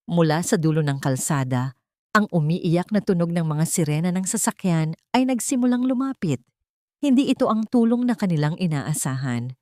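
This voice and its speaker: A woman's voice: lowish voice, darkish tone, no room sound, clean studio sound. A woman delivering dramatic scripted narration for an audio drama, in a solemn, emotive storytelling manner.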